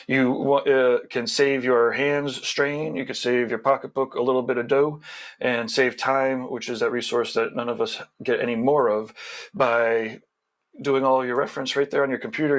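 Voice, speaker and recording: Guy on a zoom call running thru sponsor plugs, kinda rambly, lots of restarts. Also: mid-range voice. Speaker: show organizer. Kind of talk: casual livestream sponsor plugs. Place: home video call. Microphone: video call.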